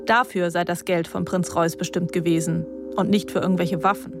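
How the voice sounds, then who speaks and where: Measured woman narrating a court report. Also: lowish voice, steady tone, over music. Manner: measured, matter-of-fact. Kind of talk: scripted documentary narration.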